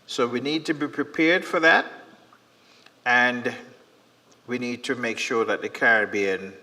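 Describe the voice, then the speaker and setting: A man moderating a conference, introducing the next session and presenter in a measured, emphatic way. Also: medium pitch, bright, forceful tone. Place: conference hall. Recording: reverberant conference hall.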